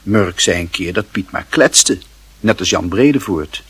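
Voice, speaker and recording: A man with a husky voice reading scripted literary narration for a radio play. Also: low-pitched, lively intonation, unhurried, fairly bright tone, no room sound.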